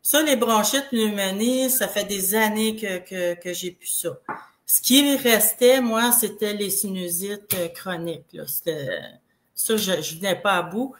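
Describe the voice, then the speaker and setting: A woman with a Québécois accent who is fighting a cold, and her voice sounds congested. She speaks at an ordinary pitch in a casual, rambling way. She often stops and restarts her sentences. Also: a little hiss or hum. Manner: rambling, halting, full of restarts. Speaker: guest sharing product testimonial. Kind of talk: casual live chat.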